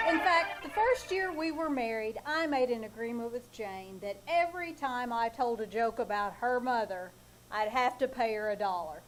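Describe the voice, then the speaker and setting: A woman's voice: fairly high pitch, low-quality recording. A woman playing a long-suffering, wisecracking husband in a live old-time radio comedy, with a dry, sardonic delivery. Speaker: actor playing Ace, the husband. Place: live theater stage.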